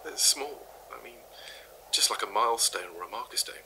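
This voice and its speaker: Casting: a formal, fumbling professor, sharp bright tone, faint hiss behind. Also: male voice, on the low side, normal speed, poor audio. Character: curious male professor recording research tapes.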